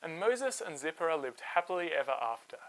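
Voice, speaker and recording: A man tells a children's story as host, with a bright, forceful voice. His pitch moves freely and expressively, giving the scripted narration a dramatic, animated feel. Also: fairly quick, low-quality recording.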